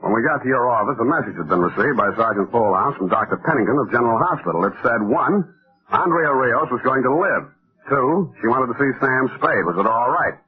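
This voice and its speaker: A man's voice: mid-range voice, brisk, close to an everyday voice. An actor playing a sardonic, world-weary male private detective narrating a hard-boiled 1940s radio drama. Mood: somber, reflective. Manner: wry deadpan, hard-boiled. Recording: tinny phone-like vintage radio recording.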